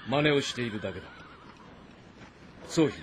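Man acting a stern, lively-pitched warlord. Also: normal speed, slight background noise, close to an everyday voice. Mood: stern, calculating. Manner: authoritative, terse commands. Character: stern, shrewd warlord commanding his army, instructing his son. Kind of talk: Japanese historical game drama.

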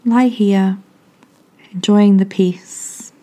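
A woman softly and gently guiding a meditation in a calming, breathy voice with long pauses. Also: medium pitch. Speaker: meditation guide. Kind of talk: guided meditation, soothing scripted narration.

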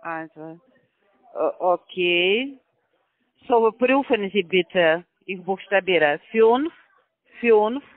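A man working as a call-center scammer on a phone call, speaking halting German with non-native grammar and repeating each digit back. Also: sits high, expressive, no room sound. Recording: tinny phone line.

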